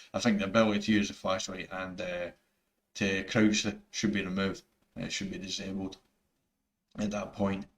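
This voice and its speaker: A man with a thick Scottish accent, giving rambling, earnest opinions over gameplay footage, full of fillers and restarts. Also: on the low side. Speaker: gaming YouTuber.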